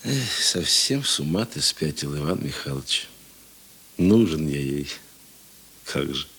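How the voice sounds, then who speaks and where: A man acting a middle-aged, thoughtful and kind police investigator in a Russian radio play, husky and measured. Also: rumbling low, lively intonation, fairly bright tone, full character voice. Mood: wry, self-deprecating. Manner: measured, self-deprecating, reflective.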